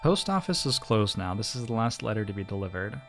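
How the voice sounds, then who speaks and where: Male game streamer for read-aloud narration of story text: creaky, gravelly edge, measured phrasing, music underneath. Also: on the low side, very animated, fairly bright tone.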